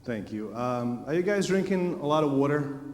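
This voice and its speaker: A man's voice: mid-range voice, lively intonation, moderate pace, slight background noise. A man from Bosnia speaking on a conference panel, casual and joking. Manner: joking, casual, laughs at own jokes. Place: conference stage. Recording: reverberant conference hall.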